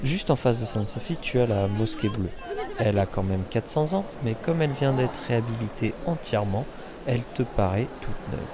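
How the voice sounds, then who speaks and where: A man hosting a travel vlog, narrating in a relaxed, wry tone that speaks straight to the viewer. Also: mid-range voice, brisk, background noise. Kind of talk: voice-over narration.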